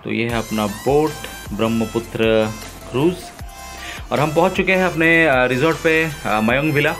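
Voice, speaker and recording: A man narrating his own travel vlog in a relaxed, enthusiastic way, pausing often with fillers, filmed on a phone outdoors on a river boat. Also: mid-range voice, faint music behind. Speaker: travel vlogger.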